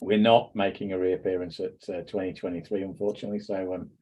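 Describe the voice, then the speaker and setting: A man's voice: sits low, level tone, fast talker, a little mellow, poor audio. A middle-aged man hosting a casual podcast chat, rambling and wry with self-deprecating jokes. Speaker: podcast host.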